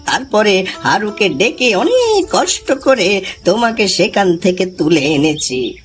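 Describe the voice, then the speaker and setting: An older village uncle, voiced by a woman, gentle and full of sorrow, speaks slowly with wide swings of pitch. Prominent music runs behind the cartoon storytelling. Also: very animated. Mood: gentle, concerned.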